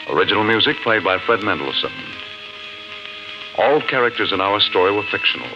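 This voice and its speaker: Deep-voiced 1950s radio announcer man. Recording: muffled 1950s radio recording. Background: music.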